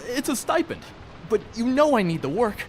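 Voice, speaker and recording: An actor playing a slippery small-time thief in a video game, talking in glib, ingratiating, hedging patter. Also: male voice, extremely high pitch, sing-song, normal speed, faint music behind. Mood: nervous pleading.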